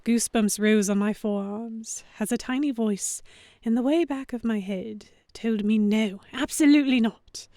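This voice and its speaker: A woman playing a young, flustered heroine who narrates a pirate romance in the first person, with expressive dramatic delivery. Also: on the high side, moderate pace, no echo, studio quality, close to an everyday voice. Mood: breathless longing.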